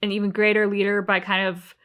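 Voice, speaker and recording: Woman, average pitch, casual discussion, co-host, reflective, articulate. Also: level tone, fairly quick, a bit bright, clean tone. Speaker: reaction show co-host.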